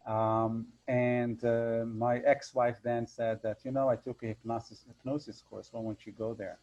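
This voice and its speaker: A man with a loud voice telling a personal story in a casual interview, acting out the voices of the people in it. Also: mid-range voice, unhurried. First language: Hebrew. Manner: animated storyteller, acts out voices. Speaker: hypnotherapist guest. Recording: dull lo-fi sound.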